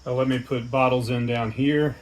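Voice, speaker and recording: Male DIY host, 50s, informal and hands-on, mid-pitched, thinking aloud over clear background noise. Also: level tone, moderate pace, a little mellow. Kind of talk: casual workshop vlog.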